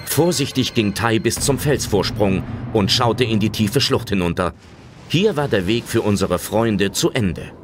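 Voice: medium pitch, lively intonation, measured pace, background noise, lightly acted. A narrator telling a children's adventure audio drama in dramatic scripted narration.

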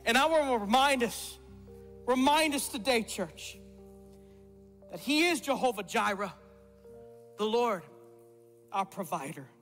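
Man pastor, very high voice, emphatic sermon, repeats phrases, long church reverb, soft music behind. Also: animated, measured pace, long pauses. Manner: emphatic, repeats words for stress, gestures widely. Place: church stage before a congregation.

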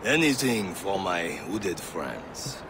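A sly, friendly merchant, with a low, smooth voice. He confides rather than declares, and outdoor noise sits behind him. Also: big pitch swings, slow, heavily acted.

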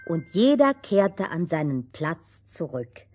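A woman's voice: fairly low pitch, very animated, slow, mellow, soft background music, exaggerated voice. A woman playing an assured storyteller who narrates a fairy tale for children and corrects her partner. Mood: narrating.

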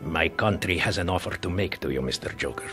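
A man acts out a formal secret service agent in a comic-book drama. The voice is low and carries veiled menace, with loud music behind it. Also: a little fast, close to an everyday voice. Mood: veiled threat.

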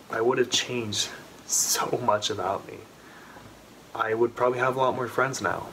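A man's voice: lowish voice, sharp tone, slight background noise, poor audio. A young man in his twenties who stutters, talking openly to the camera in his small bedroom. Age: 20s. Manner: stutters, with repeated sounds and restarts. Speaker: vlogger. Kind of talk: heartfelt personal talk to camera. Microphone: camera in front at close range.

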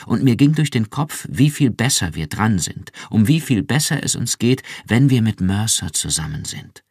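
A man's voice: deep voice, lively intonation, slow pace, dry recording, clean studio sound, heavily acted. A man voicing an earnest young wife who pleads insistently and fervently.

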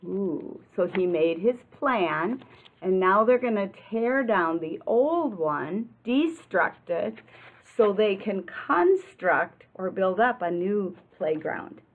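An American woman in her sixties reads a picture book aloud like a teacher, expressively and deliberately, adding explanations and questions for young listeners. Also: mid-range voice, lively intonation. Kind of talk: children's read-aloud with explanatory asides. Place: classroom, indoors. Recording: muffled, lo-fi webcam-like sound.

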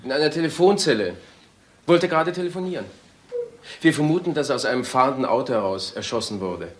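Actor as a lively, questioning police inspector. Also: male voice, medium pitch, fast, lightly acted. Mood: factual. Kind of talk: German crime radio play acting. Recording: faint noise and clicks, older radio play.

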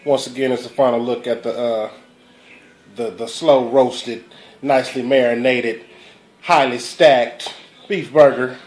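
A man's voice: mid-range voice, fairly even intonation, measured pace. Laid-back man in his thirties. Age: 30s. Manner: laid-back, rambling with fillers. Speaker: cook on audition team. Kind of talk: casual audition pitch. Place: small home living room. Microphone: handheld phone camera close up.

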